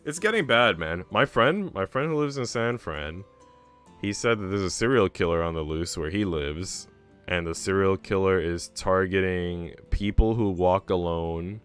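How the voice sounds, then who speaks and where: A man's voice: sits low, fairly bright tone, clear, resonant tone. A man streaming, chatting in a slangy, rambling way between bits of a visual novel he is reading. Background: game music.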